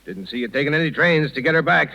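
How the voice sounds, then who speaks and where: A man's voice: on the high side, lively intonation, quick pace, creaky, bright, forceful tone, heavily acted. An actor playing a self-important, meddling older townsman with a patronizing manner in a 1930s radio drama. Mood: defensive, indignant. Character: pompous, meddling older male town worthy. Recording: muffled, lo-fi 1930s radio recording.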